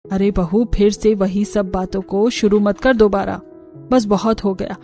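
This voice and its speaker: A woman's voice: mid-range voice, little pitch movement, measured pace, dark tone, soft background music, close to an everyday voice. A woman voicing a scolding, selfish middle-aged mother-in-law in a cartoon. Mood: annoyed, scolding. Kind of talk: cartoon voice acting.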